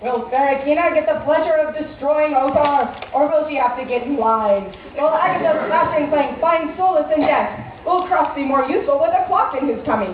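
A woman's voice: sits high, normal speed, natural voice. A woman narrating a comedic radio serial in a dramatic scripted style. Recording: very echoey, tinny, distant, noisy.

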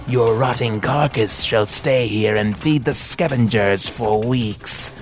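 A man voicing a sinister, mocking creature assassin in an audiobook, speaking slowly and deliberately with menace. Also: mid-range voice, soft background music, lightly acted. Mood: sinister threat. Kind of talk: audiobook character voice.

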